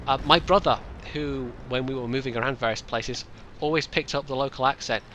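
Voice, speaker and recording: A man's voice: mid-range voice, brisk, a bit bright, slight background noise, rough recording. A man in his late twenties with a light Scottish accent, chatting casually as he answers viewer questions in a rambling, self-mocking way. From Scotland, west coast. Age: late 20s. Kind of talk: casual Q&A chat.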